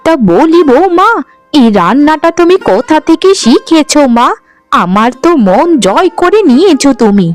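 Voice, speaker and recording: A woman's voice: high voice, big pitch swings, moderate pace. A woman voicing a doting older mother-in-law in a fairy-tale cartoon, effusive and affectionate in manner. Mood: delighted. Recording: background music under the voice.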